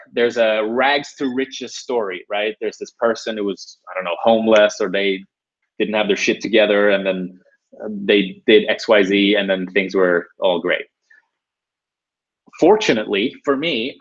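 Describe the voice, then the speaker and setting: A young man from Sweden hosting a live webinar, talking casually with lots of "you know" and "right". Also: fairly low pitch. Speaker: webinar host. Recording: dull lo-fi webinar audio.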